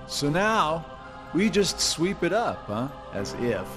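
A man's voice: fairly high pitch, big pitch swings, slow, over loud music. A man playing a sly, cocky rogue villain in a video game, drawling and mocking in slangy speech. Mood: dismissive sneer.

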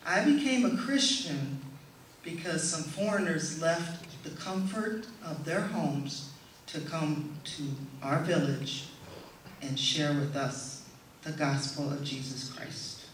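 A middle-aged woman giving a prepared testimonial speech to an audience in a measured, deliberate way. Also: deep voice. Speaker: speaker giving a presentation. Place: indoor stage in a hall, before an audience. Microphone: stand microphone, heard from a distant camera. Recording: echoey hall, distant camera, faint noise.

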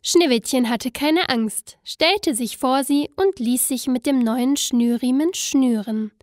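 High-voiced woman telling a fairy tale. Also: slow, a little mellow, no room sound. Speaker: storyteller. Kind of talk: scripted fairy-tale narration.

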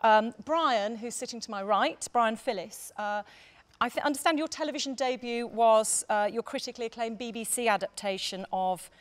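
A middle-aged woman chairing a stage panel, reading from notes and making introductions in a hesitant way with frequent pauses. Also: on the high side. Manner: hesitant, often pausing with ums. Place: on stage in a theatre.

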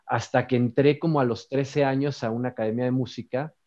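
A man's voice: mid-range voice, fairly even intonation, a little fast, darkish tone. A man in his forties from Mexico City with a Mexico City accent, telling his story as an interview guest in an easygoing way from a home studio. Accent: Mexico City Spanish. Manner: easygoing, many fillers and tag questions. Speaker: musician guest. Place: home music studio, indoors. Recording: dull lo-fi video-call audio.

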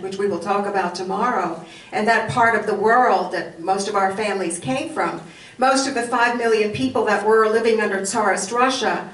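An older woman from Poland whose first language is Yiddish, telling animated stories in a lecture with a handheld mic in a hall. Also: mid-range voice. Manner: animated, does voice imitations. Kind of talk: storytelling lecture. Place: hall with curtains, indoors. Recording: roomy hall from far off, faint noise.

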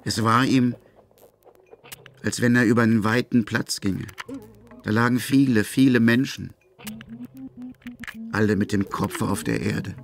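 A man's voice: fairly low pitch, steady tone, measured pace, creaky, long pauses, soft background music. A man narrating a literary radio drama as its storyteller.

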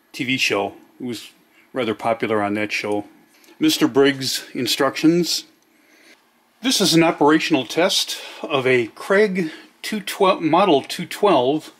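A man's voice: mid-range voice, fairly bright tone. A man narrating a casual product demonstration in a plainspoken, matter-of-fact way. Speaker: demonstrator of vintage electronics.

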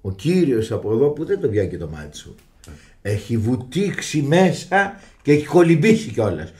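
A man's voice: mid-range voice, close to an everyday voice. A man playing an exasperated, fussy husband and father in a Greek radio theatre farce, theatrical and easily flustered. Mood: sly, showy. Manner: theatrical, excitable, exclaiming. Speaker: actor in a radio comedy.

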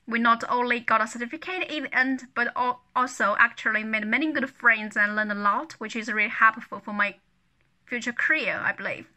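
Young woman, super bright voice, earnest, kinda recited. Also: fairly high pitch, fairly quick. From China. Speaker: recent graduate. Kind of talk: prepared testimonial.

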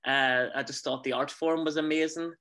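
Bright-voiced Northern Irish man chatting. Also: on the high side, fairly even intonation, normal speed. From Northern Ireland. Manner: chatty, rambling storyteller with many fillers. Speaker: guest, stage actor and singer. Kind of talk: casual conversational interview. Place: small room at home, indoors. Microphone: webcam on a video call.

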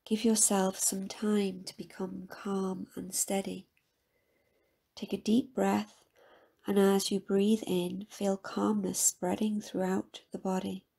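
A woman guiding a scripted meditation in a calm, measured, soft-spoken manner with a husky, breathy voice. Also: medium pitch. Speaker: meditation guide. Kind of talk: guided meditation, scripted soothing narration.

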